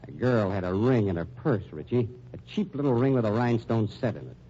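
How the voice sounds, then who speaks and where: An actor playing a sardonic, hard-boiled American police lieutenant, dry and folksy with menace, in 1940s radio style. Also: male voice, on the low side, fairly quick, dark tone, lightly acted. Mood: pressing. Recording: muffled old radio recording, faint noise.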